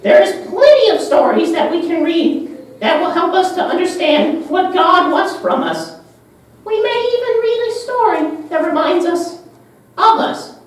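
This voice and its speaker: A woman playing a patient Sunday school teacher in a children's puppet show. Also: very high voice. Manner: instructive, patient. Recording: echoey, noisy room, distant mic.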